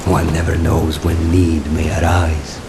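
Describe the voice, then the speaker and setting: An actor playing a solemn, cryptic guide in a fantasy video game, speaking in a measured, portentous way. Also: male voice, very deep voice, monotone, background noise. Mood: calm, cryptic. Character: solemn, cryptic male guide testing a hero's worth. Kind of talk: dramatic fantasy game acting.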